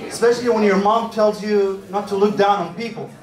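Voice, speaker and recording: A man's voice: high voice, steady tone, brisk. A young man from Malaysia with an Egyptian background, telling stand-up stories conversationally and acting out the exchanges he quotes. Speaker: stand-up comedian. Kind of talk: live stand-up comedy storytelling. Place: indoor venue before a live audience. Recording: roomy live hall, far mic, loud crowd.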